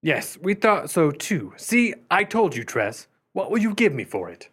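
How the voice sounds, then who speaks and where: An actor playing a blunt, eager, greedy adventurer in a radio play, with a husky voice and a smug manner. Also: male voice, high-pitched, very animated, measured pace, fairly bright tone, exaggerated voice. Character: eager, greedy male adventurer selling a diamond.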